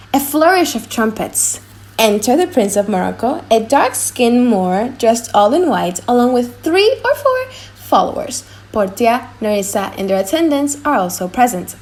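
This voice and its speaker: A woman with a fairly high voice narrates a play as an audiobook. She reads scripted scene headings and stage directions. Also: big pitch swings, measured pace.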